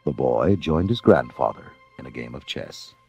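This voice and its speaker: A man narrating a scripted storybook as a dramatic, measured storyteller. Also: very deep voice, expressive, gravelly, darkish tone. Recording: music under the narration. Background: music.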